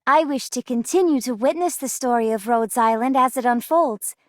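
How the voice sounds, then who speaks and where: A woman's voice: a little high, normal speed, no room sound, clean studio sound, close to an everyday voice. An actress playing an idealistic, heroic young woman in a game, speaking in a lofty, grandiose way. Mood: earnest, exalted.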